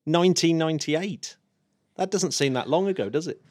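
A man hosting a space podcast in a casual, chatty way, laughing often and throwing in quick asides. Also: a little high, a little mellow, dry recording, studio quality. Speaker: podcast host. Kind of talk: casual chat.

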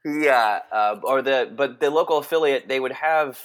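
A man in his forties from Texas, a guest chatting in an interview, who talks in halting bursts with many restarts. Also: medium pitch. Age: 40s. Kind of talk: casual interview chat.

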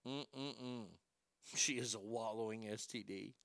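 A middle-aged man hosting a radio show, telling crude, brash stories in a high tenor voice. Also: slow pace, gravelly, dry recording. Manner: crude, brash, animated storytelling with profanity. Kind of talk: casual storytelling monologue.

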